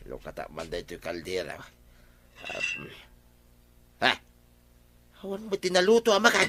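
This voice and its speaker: A man's voice: mid-range voice, fairly bright tone, a little hiss or hum. A man with an Ilocano accent playing a thirsty, hardworking village husband who grumbles and exclaims in a comedy radio drama.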